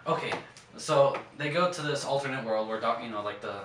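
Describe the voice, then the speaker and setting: A young man chatting casually about films, telling it like an animated storyteller who swears freely and acts out moments. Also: mid-range voice, fairly quick. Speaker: podcast co-host. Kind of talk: casual film chat. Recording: roomy, distant mic, faint background noise.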